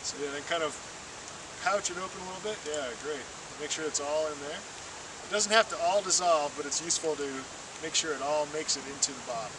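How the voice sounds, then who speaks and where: A man's voice: a little high, brisk. A man giving a patient, step-by-step, encouraging hands-on demonstration as a field trainer. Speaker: water-quality field trainer. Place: outdoors beside flowing water. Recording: distant mic, loud outdoor stream noise.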